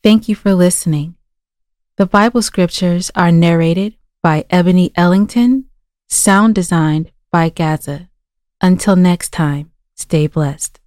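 A woman announcer delivers a scripted outro at a slow pace. Her voice is fairly low, and the sound is close and dry. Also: a little mellow, dry recording.

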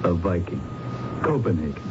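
A muffled old radio drama with heavy background noise. a young, crooked investigator with a mid-pitched voice, blunt and slangy, cynical and impatient. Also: male voice, big pitch swings, normal speed. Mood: exasperated, scolding.

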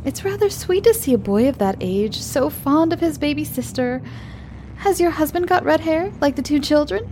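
A woman voicing a bright, chatty young female teacher who gushes cheerfully. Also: sits high, animated, normal speed, slight background noise, voice pushed up. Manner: cheerful, gushing.

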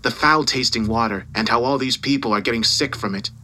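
A man acting as a domineering newspaper boss in an audio drama. He is forceful and condescending, with a bright, hard-edged delivery that mocks and bullies his employee. Faint noise sits behind the voice. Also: mid-range voice, fairly quick, close to an everyday voice. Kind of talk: dramatised audio story dialogue.

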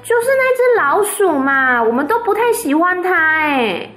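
A woman's voice: extremely high pitch, sing-song, normal speed, faint music behind, pitched above the performer's own voice, full character voice. A woman voicing a cheerful, chatty little farm girl who talks eagerly and matter-of-factly. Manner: bright, eager, matter-of-fact.

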